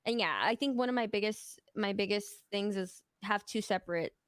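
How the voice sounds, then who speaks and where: A woman's voice: mid-range voice, moderate pace, a bit bright, no room sound, clean studio sound. Rambling, candid woman streamer. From Mexico. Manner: open, rambling, many fillers. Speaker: guest streamer. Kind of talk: casual interview talk.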